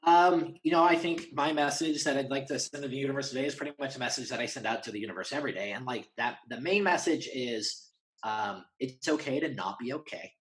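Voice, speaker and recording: A man with a medium-pitched voice talks as a guest in a relaxed conversation. He is candid and earnest, using informal phrasing and often restarting a thought to put it another way. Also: fairly quick, poor audio. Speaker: interview guest.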